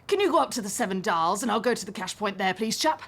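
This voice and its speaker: A woman's voice: medium pitch, lively intonation, fast, a bit bright. A woman playing a brusque, imposing British man in his late forties, with clipped, punchy, staccato delivery in an audio drama. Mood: brisk, bossy. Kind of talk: audio drama phone-call dialogue.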